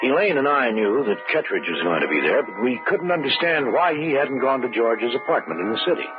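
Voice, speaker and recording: A man's voice: mid-range voice, sing-song, brisk, music in the background, natural voice. An actor playing a sensible, matter-of-fact married man who tells his tale in old-time radio drama style. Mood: grave narration. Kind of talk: 1940s radio drama acting. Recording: muffled, noisy old radio recording.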